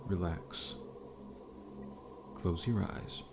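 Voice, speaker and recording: Man voicing a deep, slow-talking scorpion-man dentist. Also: vocal fry, noisy background, full character voice. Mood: soothing, friendly. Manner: gentle, reassuring, softly chuckling, formal-polite. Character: massive friendly scorpion-man dentist, calm, faintly menacing. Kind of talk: ASMR roleplay. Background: background music.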